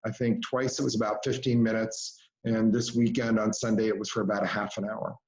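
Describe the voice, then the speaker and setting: A man's voice: on the low side, flat delivery, brisk, a little mellow. American man on a dull video call. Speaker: local commissioner reporting at meeting. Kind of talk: informal public meeting update.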